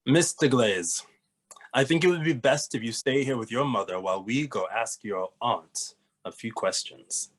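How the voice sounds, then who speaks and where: An earnest young male detective, acted by a man in a cartoon mystery, speaking at a middle pitch. He is cautious, methodical and formally polite. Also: close to an everyday voice. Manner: formal, measured. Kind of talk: animated comedy table read.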